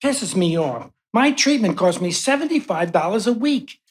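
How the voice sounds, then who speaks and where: A woman's voice: mid-range voice, sing-song, normal speed, natural voice. A woman playing an affable, wry male patient in a live radio play.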